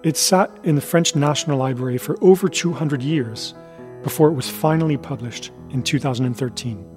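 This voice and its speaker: A man's voice: mid-range voice, brisk, a little mellow, soft background music. A man delivering scripted documentary-style narration as a narrator.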